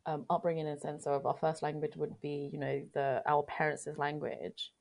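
A woman with a notably low voice and a heavy gravelly fry, talking in a relaxed chat. She speaks thoughtfully and sums up what others say. Vietnamese was her first language. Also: moderate pace. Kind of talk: casual chat.

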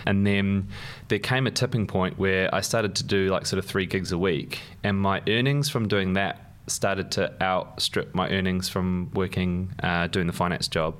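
A young man with a New Zealand accent talks as a podcast guest, his voice very low. He rambles casually, filling gaps with ums and laughing easily. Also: steady tone, normal speed, few pauses, fairly bright tone, clean tone. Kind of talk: casual conversation.

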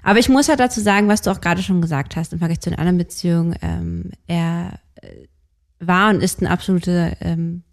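A woman's voice: fairly low pitch, fairly even intonation, fairly quick, gravelly. A woman in her early thirties talking candidly in a podcast conversation, hesitant, with many fillers and restarts. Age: 30s. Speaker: podcast co-host.

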